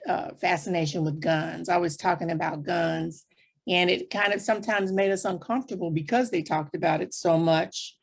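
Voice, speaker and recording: A woman's voice: fairly low pitch, vocal fry. A woman speaking as a panelist, explaining things point by point in plain, conversational terms. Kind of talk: explanatory panel discussion talk.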